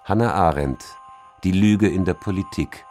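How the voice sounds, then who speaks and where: Low male voice, formal narrator reading a quote, measured, faint music behind. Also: darkish tone. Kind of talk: scripted reading of a quotation. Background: music under the voice.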